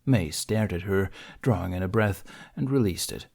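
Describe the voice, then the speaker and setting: A man's voice: low voice, lively intonation, moderate pace, creaky, darkish tone. A man reading scripted fiction as an audiobook narrator.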